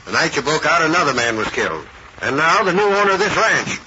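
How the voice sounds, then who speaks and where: An actor playing a gruff, excitable man of the Old West in a radio drama, blustery and emphatic. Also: a little high, quick pace. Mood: stern, suspicious. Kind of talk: 1930s-40s radio western acting. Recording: noisy dull old radio broadcast recording.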